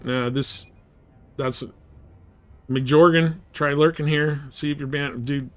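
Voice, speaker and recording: A man's voice: fairly high pitch, steady tone, slow, a bit bright, soft background music. A man chatting casually and informally as he livestreams a video game, laid-back in manner. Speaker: live streamer. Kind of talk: casual gaming livestream chat.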